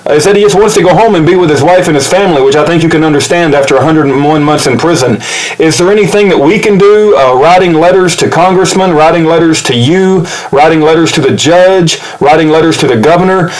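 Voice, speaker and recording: Male activist supporter, slightly high voice, polite and earnest, halting delivery, informal spoken appeal. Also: rough recording. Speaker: activist supporter leaving a voicemail and recording it for upload. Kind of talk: informal spoken appeal, phone message and update.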